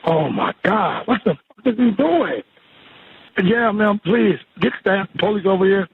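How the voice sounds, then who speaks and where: A man as a shaken, agitated bystander calling emergency services, halting and pleading over a phone line. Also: sits high, expressive, gravelly, breathy edge, exaggerated voice. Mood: alarmed, pleading.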